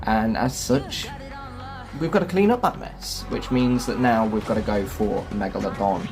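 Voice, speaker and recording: A man's voice: fairly low pitch, moderate pace. A man hosting a gaming video with casual gameplay commentary. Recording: voice-over with music and game vehicle sounds. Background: clear music and game engine noise.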